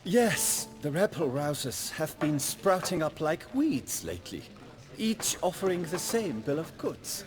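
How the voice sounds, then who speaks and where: A man's voice: fairly high pitch, very animated, measured pace, over music. An actor playing a gossipy, worldly townsman in a fantasy video game, talking in a knowing way. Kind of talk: video game cutscene acting.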